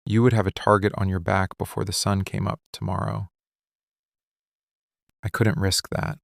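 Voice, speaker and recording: A man acting a possessive, menacing mafia boss in love, speaking slowly, deliberately and intimately in an ASMR roleplay. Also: lowish voice, creaky, smooth, dry recording, clean studio sound. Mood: confessional, intense. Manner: slow, deliberate, intense, hushed confiding delivery. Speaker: ASMR voice actor. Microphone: close studio microphone, dry.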